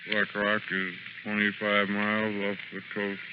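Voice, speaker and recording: Man acting a deep, groggy, guilty old-radio watchman. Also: monotone, normal speed, lightly acted. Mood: drowsy, entranced. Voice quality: groggy, mumbling. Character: drowsy hypnotised male watchman, mumbling, guilty and fearful. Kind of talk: 1940s radio drama acting. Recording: muffled, noisy vintage radio recording.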